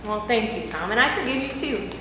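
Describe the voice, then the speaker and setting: A woman's voice: fairly high pitch, expressive, fairly quick, noisy background, natural voice. A woman playing an overworked housewife in a church skit, talking emotionally and swinging between scolding and pleading. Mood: gentle. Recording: echoey hall from far off.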